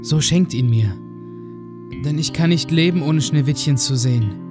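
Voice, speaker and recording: A man's voice: on the high side, moderate pace, music in the background, natural voice. A man playing a young, lovestruck fairy-tale prince, earnest and declamatory. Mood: ardent. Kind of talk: fairy tale audio play.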